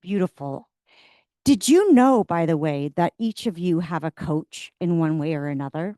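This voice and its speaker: Brief: woman host, upbeat, very lively pitch, dry close sound. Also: moderate pace, no room sound. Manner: upbeat and encouraging. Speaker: meeting leader. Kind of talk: hosting a team meeting.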